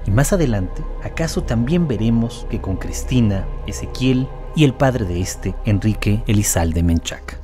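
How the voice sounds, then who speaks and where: A man's voice: on the low side, lively intonation, normal speed, over music. A man reading scripted narration for a documentary audio drama.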